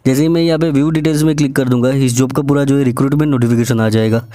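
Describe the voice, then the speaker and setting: Male Indian presenter for an instructional screen-recording voice-over; mid-pitched, mellow, quick, repetitive and directly addressing the viewer. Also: fairly even intonation. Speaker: job vacancy channel presenter.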